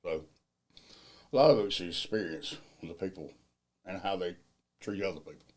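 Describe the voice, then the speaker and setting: A middle-aged man with a low, deep voice talks about his work in a loud, plainspoken way. His grammar is loose and folksy, and his tone stays mellow and relaxed throughout. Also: normal speed. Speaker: field crew general foreman. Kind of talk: casual interview answers.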